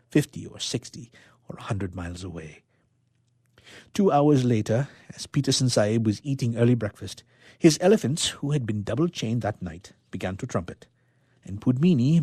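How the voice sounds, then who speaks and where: A man narrating a story dramatically, with expressive, suspenseful delivery and phrases that drop to a hush. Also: fairly low pitch, husky, no room sound, clean studio sound. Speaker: storyteller, reader.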